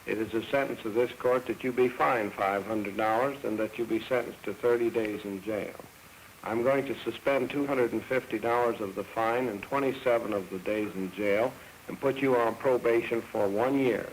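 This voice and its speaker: A man's voice: lowish voice, moderate pace, rough recording. A man speaking as a judge in a stern, official, formal courtroom manner. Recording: vintage film sound, faint noise.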